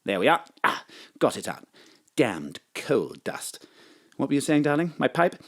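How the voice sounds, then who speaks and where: An actor playing a suave, courteous gentleman lover in a parody of old romantic audio drama. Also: medium pitch, very animated, a little slow. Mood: attentive, apologetic.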